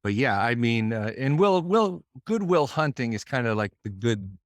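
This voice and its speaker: A man's voice: mid-range voice, very animated, moderate pace, no echo, clean studio sound. A man from Boston, a musician, talking in a thoughtful, meandering way with many restarts as a guest over a video call. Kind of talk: casual interview chat.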